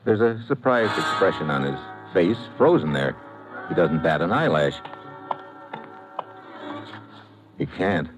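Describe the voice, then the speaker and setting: An actor playing a terse, wisecracking American investigator, delivering tough noir narration and dialogue for radio. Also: male voice, deep voice, lively intonation, normal speed, over music. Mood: tense, deadpan. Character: hard-boiled, wisecracking American investigator hero. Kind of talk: first-person noir narration and radio acting. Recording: noisy old-time radio recording.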